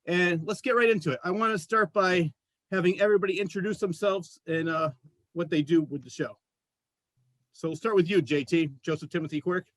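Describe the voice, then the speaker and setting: A man's voice: sits high, fairly quick, fairly bright tone, no room sound. A man hosting a casual interview show, welcoming guests and asking questions. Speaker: host of a local artist interview show.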